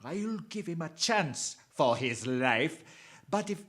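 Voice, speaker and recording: A villainous criminal mastermind in a radio drama. He speaks slowly, with great swoops of pitch, savoring each threat and gloating as he repeats it. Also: animated, a bit bright, exaggerated voice. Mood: scheming command. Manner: slow relishing menace, chuckling, repeats phrases. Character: male crime mastermind, menacing, gloating, theatrical.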